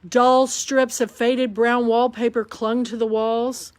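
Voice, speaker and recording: A woman reading a children's picture book aloud at storytime, slowly and with lots of expression. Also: on the high side. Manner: slow, expressive read-aloud delivery. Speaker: storytime reader.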